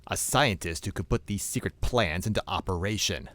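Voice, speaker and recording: An actor playing a scheming, pompous crime boss with deliberate menace in an audio drama. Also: male voice, low voice, animated, fairly bright tone, no echo. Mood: gloating. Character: smooth, scheming male criminal mastermind. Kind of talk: audio drama villain acting.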